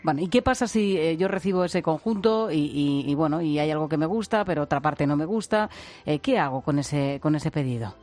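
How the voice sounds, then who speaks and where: Radio host asking quick questions, keeps saying ujú, faint music under it. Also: mid-range voice, darkish tone. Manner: brisk, many short backchannel "ujú"s. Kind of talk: radio interview.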